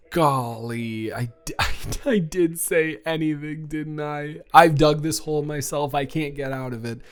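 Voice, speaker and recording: A man playing a sweet, playfully teasing young college student in a romantic audio roleplay, speaking casually and haltingly with repeated words. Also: fairly high pitch, close to an everyday voice. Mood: affectionate, playful. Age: young adult.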